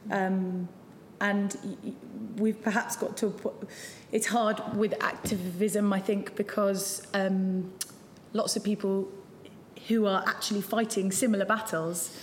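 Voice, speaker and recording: A woman addressing a live audience, pausing often and restarting her sentences. Her voice echoes around a roomy hall with a long reverb. A faint noise hums underneath. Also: medium pitch, flat delivery. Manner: hesitant, many restarts and ums. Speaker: campaign speaker. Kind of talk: hesitant speech to a live audience.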